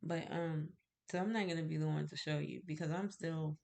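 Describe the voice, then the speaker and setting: A woman's voice: low voice, fairly even intonation, a little fast, gravelly, dark tone. A woman with a stuffy cold, sounding nasal, chatting casually to camera about her candle-making and rambling with fillers and little laughs. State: stuffy, congested.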